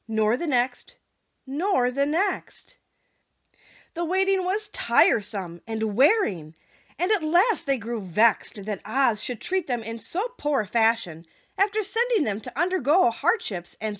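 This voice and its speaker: A woman reads scripted storybook narration with a very lively, swooping delivery. Her voice is fairly high and carefully phrased, and the recording is slightly dull. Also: measured pace. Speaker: audiobook narrator.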